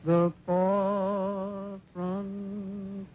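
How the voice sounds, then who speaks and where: A woman's voice: mid-range voice, little pitch movement, very slow. A woman singing an old-time western song. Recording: muffled vintage soundtrack. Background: music.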